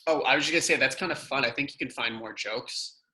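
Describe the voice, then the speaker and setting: Very bright-voiced man, casual discussion. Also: medium pitch, fast. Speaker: cast member giving script feedback.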